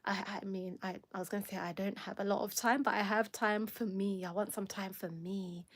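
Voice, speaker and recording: Kenyan-accented woman host, casual rambling livestream talk, quick laughs and restarts, mid pitch, a touch tired. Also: steady tone. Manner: rambling, self-correcting, laughs often.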